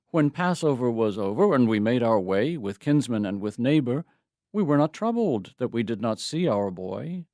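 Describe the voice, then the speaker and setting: A man performing a dramatic poem as a troubled, devoted father, telling his story in a solemn, measured, deliberate way. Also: medium pitch, dark tone, no echo, clean studio sound, close to an everyday voice. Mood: wistful recollection. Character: Saint Joseph, troubled, devoted stepfather recalling his lost boy. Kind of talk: dramatic poetry reading, first-person monologue.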